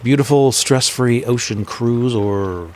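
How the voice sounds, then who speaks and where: An actor playing a stressed secret agent on holiday in an audio drama, with a dry, wry delivery. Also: male voice, medium pitch, slow, darkish tone, a little hiss or hum, lightly acted. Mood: wry, weary.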